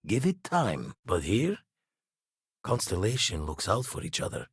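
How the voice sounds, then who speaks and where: Husky, seasoned ex-pirate spaceman. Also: lowish voice, huge pitch swings, measured pace, no echo, clean studio sound, full character voice. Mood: steady, weathered. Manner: clipped folksy phrasing, dropped subjects, world-weary. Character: gruff, seasoned male ex-pirate spaceman, watchful and loyal. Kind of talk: video game voice acting, dramatic dialogue.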